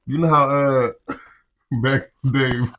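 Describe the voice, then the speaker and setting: A man's voice: mid-range voice, fairly even intonation, takes it slowly, fairly bright tone, slight background noise. An American man co-hosting a podcast, bantering casually in slangy, profane, teasing and contrary talk. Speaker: podcast co-host.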